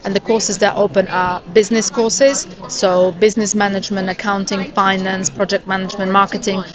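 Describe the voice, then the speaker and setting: A woman representing a university answers interview questions steadily, pausing with frequent "uh"s. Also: medium pitch, flat delivery. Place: busy education expo hall. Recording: crowd noise behind. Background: crowd chatter.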